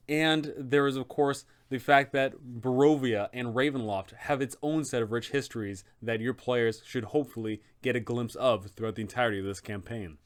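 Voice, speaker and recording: A man's voice: medium pitch, smooth. A man hosting a game master's guide, narrating in an emphatic, dramatic storyteller's style. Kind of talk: scripted, dramatic explanatory narration.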